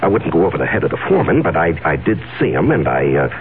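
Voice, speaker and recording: A man's voice: very deep voice, big pitch swings, rapid-fire, exaggerated voice. An actor playing a nervous, evasive businessman in a 1970s radio drama, stammering and hesitant as he protests. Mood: evasive, flustered. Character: nervous, evasive, well-off businessman hiding a secret. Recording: tinny, noisy old radio broadcast.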